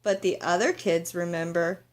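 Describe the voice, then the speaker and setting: A woman's voice: lowish voice, steady tone, normal speed, voice pushed down, natural voice. Storybook schoolgirl played by a woman: young, earnest, curious, always questioning. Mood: curious, pleading.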